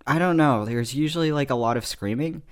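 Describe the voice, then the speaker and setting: A man chatting as a podcast co-host, with dry, deadpan teasing. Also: mid-range voice, a little fast. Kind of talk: casual chat.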